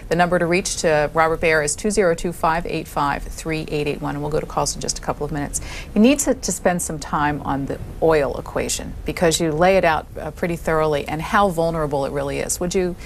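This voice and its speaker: A woman hosting a televised call-in interview, asking questions in a deliberate, clear way. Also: low voice, a little hiss or hum. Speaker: call-in show host.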